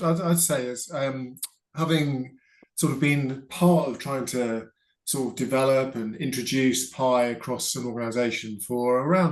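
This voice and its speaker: A man with a mid-range voice shares his work experience at an online book launch. He speaks thoughtfully, full of hedges and sort-ofs, from a room with a lot of echo on a video call. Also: medium pitch.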